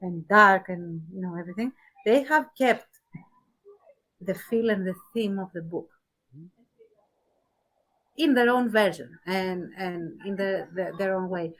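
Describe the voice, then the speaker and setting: A woman co-hosting a casual podcast discussion, talking thoughtfully with pauses and emphasis on key words. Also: mid-range voice, fairly bright tone, poor audio. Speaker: podcast co-host.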